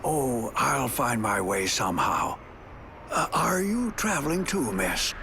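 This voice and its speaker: A man's voice: mid-range voice, sing-song, slow, pushed and bright, a little hiss or hum, full character voice. A man playing a kindly, courteous old traveler with a folksy, genial manner in a video game scene. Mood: polite. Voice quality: creaky elderly voice. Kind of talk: dramatic video game cutscene acting.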